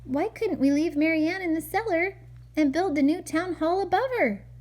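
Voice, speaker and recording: Woman voicing an eager, high-pitched little boy. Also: normal speed, darkish tone. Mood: eager, suggesting.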